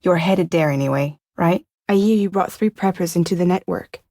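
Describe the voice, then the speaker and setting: An actress playing a guarded, wry woman courier in a post-apocalyptic video game, with soft, breathy, measured and deadpan delivery. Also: lowish voice, dry recording, studio quality. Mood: dry, businesslike. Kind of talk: cinematic video game acting.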